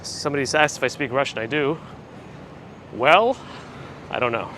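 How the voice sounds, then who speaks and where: A man chats his way through a live walking stream, answering viewers in a relaxed, dry manner. His voice sounds a bit phlegmy and gravelly, pitched mid-range for a man. Clear traffic and street noise hum behind him outdoors. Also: normal speed. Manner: relaxed, chatty, dry asides. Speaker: livestream host. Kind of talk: casual live-stream chat reading viewer comments. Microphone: handheld gimbal camera rig with recorder. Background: traffic and city ambience.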